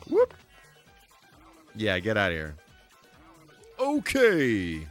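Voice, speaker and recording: Man, game streamer, lowish pitch, very lively intonation, casual chatty commentary, playful exclamations, faint music behind. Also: drawn-out words. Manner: animated, with playful exclamations and sing-song asides. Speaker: host, video game live streamer. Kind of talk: casual live gaming commentary and chat with viewers.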